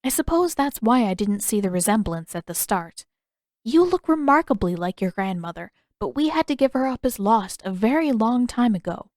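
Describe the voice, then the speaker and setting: A woman voicing a gentle, wistful elderly female healer in an audiobook, with measured, formal, reflective phrasing. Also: a little high, lively intonation, no echo, clean studio sound, lightly acted. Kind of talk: audiobook character dialogue.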